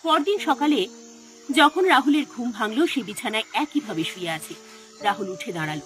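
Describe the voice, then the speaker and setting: A woman narrates a scripted ghost story over music. Her voice sits fairly high and swings widely as she builds suspense in a dramatic storytelling style. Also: lively intonation, normal speed, fairly bright tone. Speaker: narrator of an animated horror story. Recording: music behind the voice.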